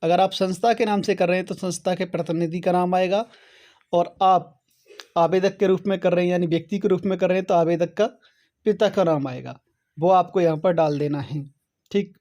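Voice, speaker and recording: High-pitched man explaining step by step. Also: fairly even intonation, moderate pace, dark tone. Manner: step-by-step, repeatedly checking with "okay". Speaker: host of an online how-to channel. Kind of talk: explanatory tutorial talk to viewers.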